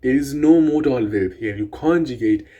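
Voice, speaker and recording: A young man whose first language is Persian, casually explaining grammar in an excited, rambling way with restarts and swearing. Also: medium pitch, moderate pace, muffled recording. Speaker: language vlogger.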